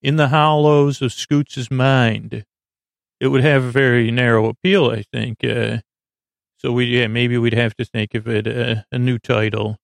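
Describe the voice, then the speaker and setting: Creaky-voiced man rambling a bedtime monologue. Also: medium pitch, level tone, no room sound, studio quality. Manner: meandering, hesitant, halting, goes off on tangents. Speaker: podcast host. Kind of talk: rambling bedtime monologue meant to lull listeners to sleep.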